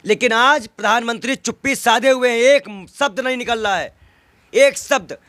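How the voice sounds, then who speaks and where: Male interviewee. High voice, dry sound, combative and emphatic political argument. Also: moderate pace, sharp tone, dry recording. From North India. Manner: argumentative, emphatic, with rhetorical questions and repetition.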